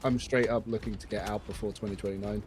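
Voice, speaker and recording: A middle-aged man chatting casually while gaming, telling animated work stories and acting out what others said. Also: fairly low pitch, fast talker. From England. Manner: animated storytelling, acting out quotes with laughs. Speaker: stream guest. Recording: background noise under voice.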